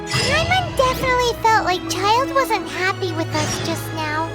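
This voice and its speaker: A woman voicing a tiny, excitable, easily spooked fairy girl companion in a video game, squeaky and childlike. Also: moderate pace. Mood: nervous. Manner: speaks of self in third person, exclaiming. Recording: music behind the voice.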